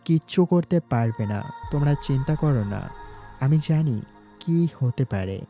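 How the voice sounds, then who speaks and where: A man's voice: mid-range voice, animated, a little slow, muffled recording. A man playing a young university student who is secretly a gangster boss in an audio story, with music underneath. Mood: cool, scheming. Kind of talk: Bengali audio story acting.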